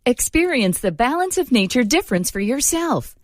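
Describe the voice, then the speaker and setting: A woman's voice: a little high, sing-song, normal speed. A woman reading a scripted radio commercial as its announcer.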